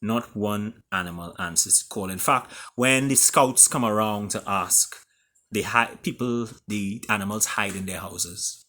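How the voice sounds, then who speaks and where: A man with a Caribbean accent reading his own story aloud in a theatrical way, with deliberate pauses. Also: on the low side, bright, forceful tone. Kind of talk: dramatic storytelling.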